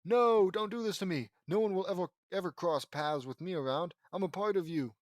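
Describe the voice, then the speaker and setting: A pure evil story villain put on by a man, taunting and theatrical. The voice is loud and fairly high, melodramatic, and recorded very dry. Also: animated, normal speed, no echo. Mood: desperate.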